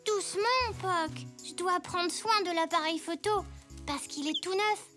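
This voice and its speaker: An actor voicing an eager, polite little boy zebra in a children's cartoon, with a bright childlike delivery. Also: very high voice, slow, over music. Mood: careful, cheerful. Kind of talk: French preschool cartoon dialogue.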